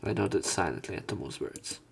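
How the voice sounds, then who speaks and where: A man with a fairly low voice full of vocal fry hosts a let's play. He reads visual novel narration and dialogue aloud. His own commentary is casual. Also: animated, normal speed.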